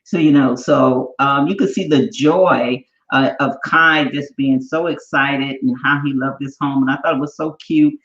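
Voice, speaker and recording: A woman's voice: rumbling low, fairly even intonation, normal speed, hardly any pauses. A woman co-hosting a show in a bubbly, effusive way, chatting casually and using lots of fillers. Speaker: show co-host. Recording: echoey, dull room audio.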